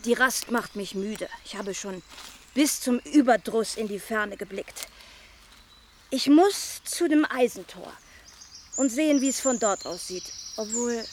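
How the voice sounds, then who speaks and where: Restless society girl, blunt and provocative, period radio play, faint nature noise behind. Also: a little high. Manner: blunt, challenging. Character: worldly, outspoken young society lady, restless and provocative. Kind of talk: radio drama acting.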